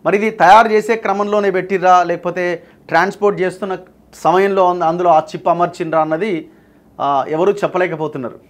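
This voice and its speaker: Male news presenter, Telangana accent, high register, emphatic explanatory delivery. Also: steady tone, fairly quick. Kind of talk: news reading with explanatory commentary.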